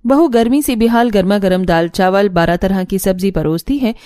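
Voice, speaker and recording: A woman's voice: mid-range voice, moderate pace, mellow, no echo. A woman reading scripted narration for a cartoon family story.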